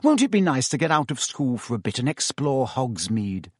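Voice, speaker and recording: A man's voice: mid-range voice, big pitch swings, moderate pace, slightly rough, dry recording, studio quality. A man voicing an earnest, brainy teenage girl who speaks eagerly and sharply in an audiobook. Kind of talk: audiobook character voice.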